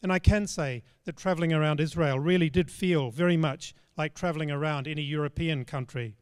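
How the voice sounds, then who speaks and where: Older male preacher, slightly high voice, measured and plain, close mic with long hall reverb. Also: a little mellow, clean tone. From Australia. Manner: measured, storytelling, plain spoken. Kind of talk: sermon. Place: large church stage. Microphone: handheld microphone.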